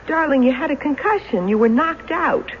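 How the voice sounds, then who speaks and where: An actress with an American accent playing a loving, practical, skeptical wife in a radio drama, talking briskly, dryly and coaxingly. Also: female voice, sits high, expressive. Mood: exasperated, fond. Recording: muffled, faintly noisy 1970s radio recording.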